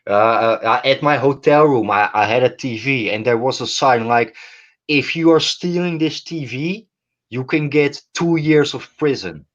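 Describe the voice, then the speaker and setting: A man from the Netherlands, a guest in casual chat, speaking slowly and haltingly with many restarts. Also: mid-range voice, fairly bright tone. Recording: dull lo-fi livestream audio.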